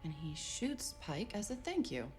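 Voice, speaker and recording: A woman's voice: lowish voice, sing-song, a little slow, darkish tone, faint music behind. An actress playing a shrewd female private detective in a period crime drama, direct and questioning. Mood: pondering.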